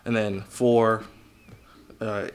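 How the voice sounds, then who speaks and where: A man's voice: lowish voice, flat delivery, drawn-out words, a little hiss or hum. A man with an American accent, chatting casually and informally as a guest in a podcast interview. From United States, Virginia. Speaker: podcast guest, business coach.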